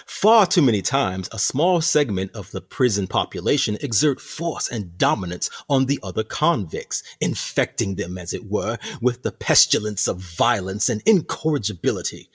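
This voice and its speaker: Actor as husky, low-voiced sinister prison director. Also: male voice, lively intonation. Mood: coolly persuasive. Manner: formal, eloquent, theatrical, measured. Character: smooth, sinister, courteous male prison colony director. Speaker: actor in an audio drama. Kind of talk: community theatre radio play acting.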